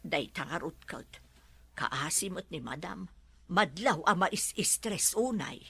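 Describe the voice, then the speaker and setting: An actress playing a fretful, dutiful older housemaid with a husky voice in an Ilocano radio drama. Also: female voice, lowish voice, animated, takes it slowly, sharp tone, dry recording, exaggerated voice. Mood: concerned, gossipy.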